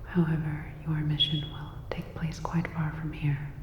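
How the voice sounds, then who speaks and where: A woman whispering a scripted sci-fi ASMR roleplay as a calm, clinical robot repair technician, soft, breathy and gently instructive. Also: low-pitched, measured pace, echoey room, in a large hall, muffled recording, a little hiss or hum.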